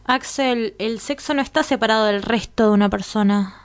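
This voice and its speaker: An actress playing a questioning, sharp-tongued woman in radio drama dialogue, challenging and inquisitive. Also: fairly high pitch, normal speed, clear, resonant tone, lightly acted. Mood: exasperated.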